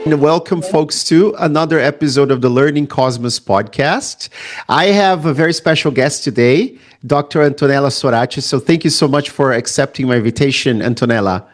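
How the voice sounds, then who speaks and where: A man hosting an interview podcast, enthusiastic and quick to laugh, whose first language is Portuguese. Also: mid-range voice, soft background music. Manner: enthusiastic, laughs often, frequent fillers. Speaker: podcast host. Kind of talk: conversational interview.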